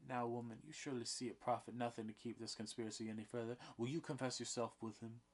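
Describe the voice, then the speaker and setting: A man's voice: level tone, fast talker, natural voice. A man voicing a stern, pompous older male judge who presses a prisoner for a confession, in a read-aloud play. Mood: insistent. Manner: insistent, officious, raised pitch.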